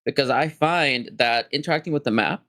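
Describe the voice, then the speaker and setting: A man's voice: medium pitch, brisk, sharp tone. A man talking on a casual podcast as a guest, thoughtful and often stopping to rephrase himself. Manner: thoughtful, circling back to rephrase. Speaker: podcast guest and game master.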